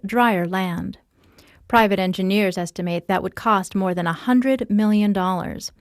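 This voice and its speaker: A woman's voice: mid-range voice, dry recording. Woman narrator with a close, dry sound. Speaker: podcast host, voiceover narrator and reporter. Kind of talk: scripted narration for podcasts and radio.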